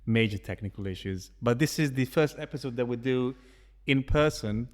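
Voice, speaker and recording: A man's voice: mid-range voice, normal speed. A man hosting a podcast, chatting casually and joking with his guest. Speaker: podcast host. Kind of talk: casual chat. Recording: long reverb.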